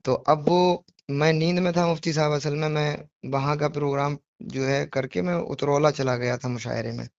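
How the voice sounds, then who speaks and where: A man with a somewhat high voice talks over a dull, lo-fi phone recording. He is apologetic and insistent, justifying himself in earnest conversation, often repeating his point and asking questions he expects agreement to. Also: fairly even intonation, normal speed, vocal fry, hardly any pauses, no room sound. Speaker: devotional poetry reciter on a phone call. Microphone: phone call.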